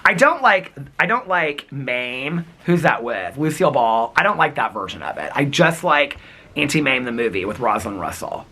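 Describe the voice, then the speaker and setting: A man vlogging outdoors in wind and snow, talking casually in a rambling, giggly way with a husky, crackly voice. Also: mid-range voice, normal speed. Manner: rambling, giggly, animated storytelling. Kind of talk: casual chatty vlog. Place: outdoors in windy snowy weather.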